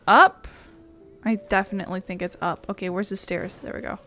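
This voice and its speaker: A woman's voice: mid-range voice, bright, forceful tone. A woman giving casual gameplay commentary as a let's player, muttering and self-deprecating. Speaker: game streamer, let's player. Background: game music.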